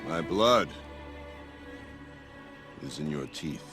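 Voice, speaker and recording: A proud, defiant hunter chieftain with a very low, gravelly voice. He declaims solemnly and formally in video game style, slow and weighty, with music playing behind him. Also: animated, heavily acted. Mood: solemn, conceding. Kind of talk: dramatic video game acting. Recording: background music under the voice.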